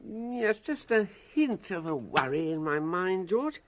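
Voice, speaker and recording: An actor playing a shrewd, genteel older English prisoner in a radio comedy drama, speaking in urbane, formal phrases. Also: male voice, high voice, big pitch swings, measured pace. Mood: thoughtful, confiding. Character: genteel, shrewd old Englishman, a prisoner running culture classes.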